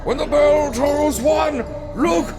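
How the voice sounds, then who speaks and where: An actor playing the tormented, remorseful ghost of a dead businessman in a radio drama, breathy, wailing and solemn. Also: extremely high pitch, takes it slowly, loud music behind the voice, full character voice. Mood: ominous warning. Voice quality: breathy wailing, moaning delivery.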